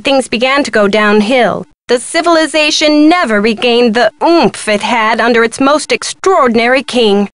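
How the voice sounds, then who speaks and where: A middle-aged female museum curator for a video game. She is brisk and theatrically wry, always a little harried. Her voice sits fairly high, in a very dry recording. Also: fairly bright tone, no room sound. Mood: brisk, upbeat. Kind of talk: scripted video game dialogue.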